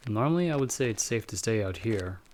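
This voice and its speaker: Give this role a fairly low male voice from a man acting it out. He is a watchful bounty hunter who knows magic and monsters. He speaks to his companion in measured, slightly formal explanations, in the style of an ASMR audio roleplay. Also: animated, a little mellow, a little hiss or hum, natural voice. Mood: calm, watchful.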